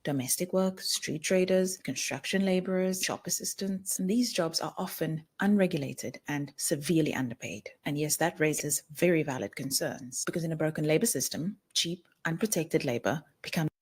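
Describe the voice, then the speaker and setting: A woman presenting a scripted explainer video in a measured, questioning delivery. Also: low-pitched, breathy edge.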